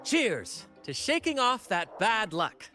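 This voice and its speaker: A man's voice: sits high, very animated, takes it slowly, pushed and bright, heavily acted. An actor voicing a flamboyant, charming male performer in a video game, with game music behind him. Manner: flamboyant, grandiose. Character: swashbuckling theatrical male performer, charming and bold.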